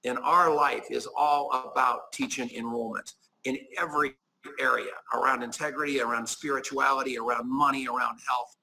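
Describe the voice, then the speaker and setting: A man in his sixties with a gravelly, bright edge to his voice, emphatic and a little preachy, driving his points home through repetition. His pitch sits in the middle, and faint ambience hangs behind him. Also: fairly even intonation, moderate pace, a little hiss or hum, low-quality recording. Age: 60s. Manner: emphatic, preachy, repeats key phrases. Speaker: guest, business owner. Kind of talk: enthusiastic conversational pitch.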